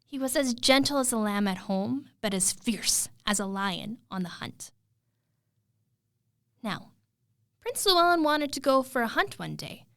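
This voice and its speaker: A woman retelling a fairy tale as a storyteller, in a dramatic, expressive way with suspenseful pauses. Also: a little high, smooth, dry recording, studio quality.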